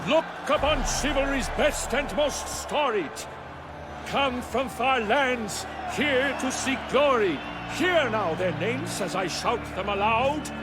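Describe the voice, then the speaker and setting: Very high-pitched pompous tourney herald. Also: male voice, animated, slow, exaggerated voice. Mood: grand proclaiming. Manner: grandiloquent, declamatory. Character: pompous tourney herald who proclaims in rhyme. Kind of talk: video game voice acting. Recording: loud crowd and music behind.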